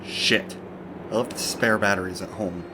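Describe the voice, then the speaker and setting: A man acting a sceptical, excitable young ghost hunter in an amateur horror story, flippant and scoffing. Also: on the low side, normal speed, noisy background, lightly acted. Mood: annoyed.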